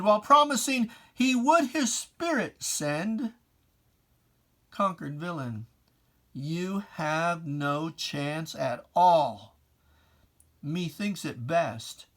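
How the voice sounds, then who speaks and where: A person giving a dramatic, solemn reading of religious verse, declaiming emphatically with deliberate pauses and archaic preacherly diction. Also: medium pitch, a bit bright. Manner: archaic preacherly diction, addressing a foe directly.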